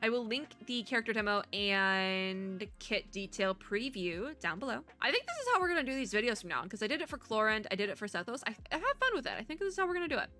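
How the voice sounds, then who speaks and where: Female VTuber host for game reactions: bright, chatty, slangy and gushing, prone to rambling, light music underneath. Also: on the high side, big pitch swings, fast, few pauses. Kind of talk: casual game reaction commentary.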